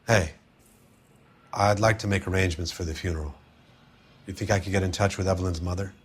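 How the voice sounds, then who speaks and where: A man's voice: low voice, fairly even intonation, a little fast, slightly rough. An actor playing a downbeat, world-weary man in a 1940s crime game, talking plainly and with restraint. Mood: wistful, subdued. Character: downbeat, world-weary male friend of a murdered woman.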